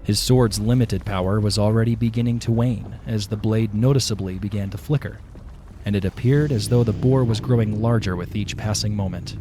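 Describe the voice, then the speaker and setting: A man narrating a scripted fantasy audio drama in a measured storytelling manner. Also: lowish voice. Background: background music.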